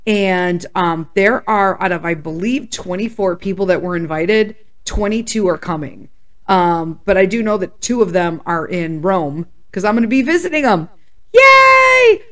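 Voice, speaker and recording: This is a woman in her fifties from Chicago, hosting a relaxed solo podcast. Her voice sits in a middle register and sounds slightly hoarse and congested. She talks in a candid, chatty way that breaks into excited whoops. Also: medium pitch, a little slow. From Chicago, USA. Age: 50s. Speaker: podcast host. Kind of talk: casual solo podcast chat.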